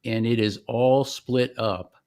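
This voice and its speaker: A man explaining software step by step in a conversational, informal product demonstration. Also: mid-range voice, fairly even intonation, slow pace.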